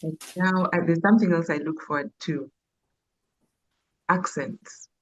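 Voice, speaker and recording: A woman's voice: lowish voice, measured pace. A Ugandan woman co-hosting a live podcast, chatting casually and laughing easily. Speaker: podcast co-host. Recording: dull lo-fi online call audio.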